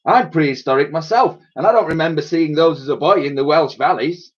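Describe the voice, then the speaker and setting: A man voicing a tiny, excitable, sceptical old Welsh soldier in a children's storybook. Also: fairly high pitch, fairly quick, dull audio, voice pushed up, close to an everyday voice. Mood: scoffing.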